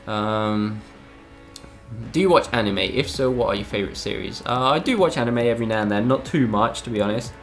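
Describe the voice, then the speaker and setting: A young man with a lowish voice, a gaming YouTuber from Spain, reads out fan questions and answers them casually. He hedges a lot and pauses on "um". Faint music plays under his voice. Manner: relaxed, hesitant, full of ums and "I don't know".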